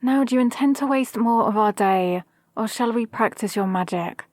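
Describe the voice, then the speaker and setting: A woman playing a calm, possibly mad villain sorceress in an ASMR roleplay, speaking to an apprentice in a measured, condescending, gently dominant way. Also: fairly high pitch, dry recording, studio quality, lightly acted. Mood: patient, instructing. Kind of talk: ASMR roleplay with whispered asides. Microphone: close, dry microphone.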